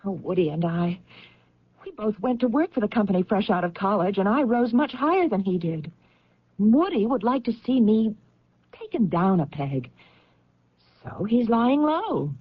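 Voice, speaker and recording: An actress playing an anxious career woman convinced of a plot against her, halting and agitated, in radio drama style. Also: mid-range voice, big pitch swings, breathy edge, dry recording. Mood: insistent, agitated. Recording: dull, band-limited old radio recording.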